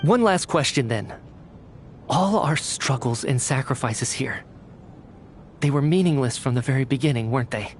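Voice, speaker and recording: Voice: mid-range voice, lively intonation, moderate pace, pauses often, a little hiss or hum, close to an everyday voice. An actor playing a defiant young male survivor in a video game, challenging and bitter in a pointed way. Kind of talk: scripted video game acting.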